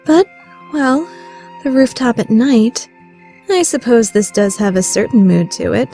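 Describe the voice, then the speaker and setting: A woman's voice: fairly high pitch, expressive, unhurried, warm tone, soft background music. A woman playing a proud, scheming young lady student in an anime-style radio play, theatrical and easily flustered. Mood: haughty, scheming. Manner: theatrical, shifting between haughty plotting and flustered outbursts.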